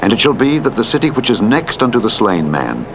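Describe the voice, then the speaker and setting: Guy reading bible verses slow and formal, dull lo-fi audio, really loud vehicle noise. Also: sing-song. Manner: measured, formal, deliberate phrasing. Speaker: audiobook narrator reading scripture. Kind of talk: solemn scripted Bible narration. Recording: muffled radio-like audio under loud truck noise. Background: loud vehicle rumble from a truck driving game.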